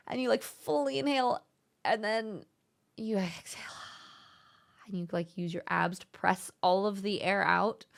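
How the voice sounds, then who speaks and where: Young woman, streamer, casual chat, gentle, encouraging, thoughtful, medium pitch. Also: vocal fry. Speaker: streamer hosting a chat discussion. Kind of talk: casual live-stream chat, reading viewer messages aloud.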